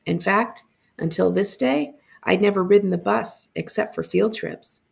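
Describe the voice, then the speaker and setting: A woman reading a first-person story aloud as a librarian would, with expressive narration. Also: mid-range voice, a little slow, gravelly.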